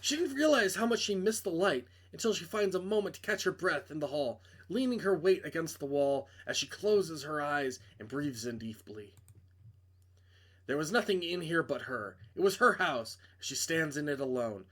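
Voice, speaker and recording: Man, higher-pitched voice, dramatic story narration, casual asides, corrects his own slips. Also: lively intonation, normal speed, a bit bright. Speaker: host reading a visual novel aloud.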